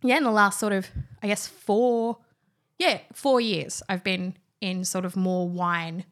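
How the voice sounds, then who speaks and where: A woman's voice: medium pitch, steady tone, a little slow. Chatty young woman. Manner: chatty, lots of fillers and restarts, giggly. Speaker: guest, wine trainer. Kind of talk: casual chat.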